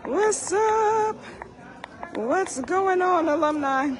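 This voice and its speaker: A hoarse woman, hosting a casual live chat for alumni, talking fast and chatty and repeating herself. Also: extremely high pitch, animated, over music. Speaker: alumni association host.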